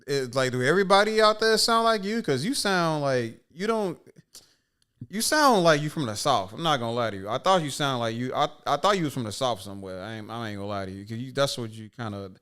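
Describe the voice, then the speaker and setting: A man's voice: medium pitch, lively intonation, fairly quick, fairly bright tone, clean tone. A man with a Chicago accent hosting a casual chat, asking curious questions and rambling with many restarts. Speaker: host.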